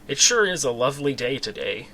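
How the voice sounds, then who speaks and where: A man's voice: mid-range voice, very animated, fairly quick, bright, forceful tone, a little hiss or hum, low-quality recording. A man voicing a naive, cheerful female talking dog in a comic space storybook tale, speaking plainly. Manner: plain-spoken, not cartoonish.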